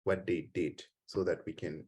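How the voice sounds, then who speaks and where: A man from Haiti with a Haitian accent, giving an earnest conference talk and taking questions, halting at times with repeated words. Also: deep voice, fairly even intonation, darkish tone, slightly rough. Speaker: conference speaker. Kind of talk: conference speech then Q&A.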